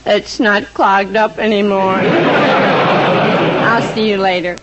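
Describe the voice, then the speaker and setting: Woman playing breezy maid in a 40s radio comedy, slow deadpan tall-tale teller, dull old recording. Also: medium pitch, heavy background noise, close to an everyday voice. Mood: chatty, amused. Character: breezy household maid telling tall tales. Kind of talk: 1940s radio comedy acting.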